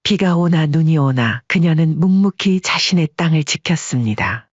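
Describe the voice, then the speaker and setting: A woman narrating a scripted audio story in a calm, measured way. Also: sits low, no echo, highs cut off.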